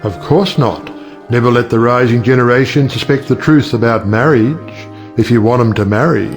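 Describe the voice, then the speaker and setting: An actor playing a witty, cynical middle-aged uncle and judge who lectures rhetorically in an audiobook stage play. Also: male voice, mid-range voice, moderate pace, faint music behind, lightly acted. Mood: sardonic. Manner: rhetorical, sardonic, declamatory.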